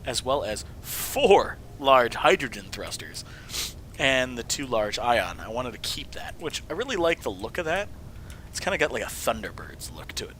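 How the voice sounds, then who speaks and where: A man whose voice is mostly gone from a cold, hoarse and at times slipping into a whisper, yet still bright and edgy in tone. He rambles through a casual walkthrough, correcting himself and cracking jokes. A faint hiss of noise sits under the recording. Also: mid-range voice. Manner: rambling, self-correcting, jokey. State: voice mostly gone from illness, sniffling. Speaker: video host showing game builds.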